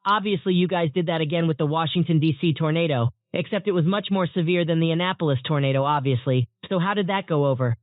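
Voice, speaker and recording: Thin, phone-like audio carries a high-voiced, polite male interview host, acted by a man. He speaks in a formal, scripted broadcast manner, very dry and close. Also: fast, few pauses, no echo, close to an everyday voice. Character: polite male TV news interviewer questioning storm chasers. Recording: muffled narrowband phone-like audio.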